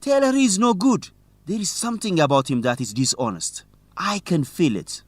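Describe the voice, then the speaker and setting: An actor playing an earnest, idealistic young student journalist in a radio drama, speaking with conviction. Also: male voice, sits high, expressive, moderate pace, no echo. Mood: suspicious, determined. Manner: earnest, impassioned.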